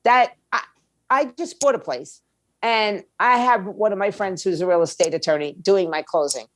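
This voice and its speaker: Female interview guest, mid-pitched, blunt and emphatic, conversational, recorded dry. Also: normal speed, dry recording. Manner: blunt, opinionated. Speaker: guest, music label owner and attorney.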